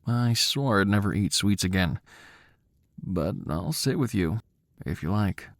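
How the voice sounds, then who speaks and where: A ragged, sorrowful wanderer from an audio storybook, as a male narrator plays him, low and soft-spoken. He sounds weary yet kindly and gentle, recorded close and dry. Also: expressive, unhurried, no room sound. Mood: weary, confiding.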